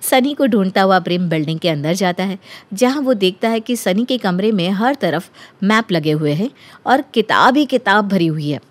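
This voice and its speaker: A woman's voice: medium pitch, lively intonation, moderate pace, dry recording. A woman narrating a scripted story as a storyteller.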